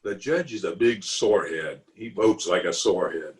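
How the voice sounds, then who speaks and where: A man's voice: low-pitched, close to an everyday voice. A man playing a pompous, blustering middle-aged uncle in an old-time radio comedy, drawing his words out indignantly. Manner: pompous, indignant, drawn-out delivery. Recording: remote home recording over video call.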